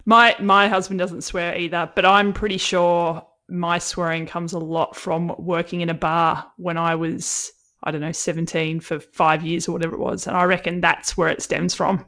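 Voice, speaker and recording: An Australian woman hosting a podcast, talking in an animated, casual way and laughing a lot. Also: on the low side, moderate pace. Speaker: podcast host. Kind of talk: casual interview chat.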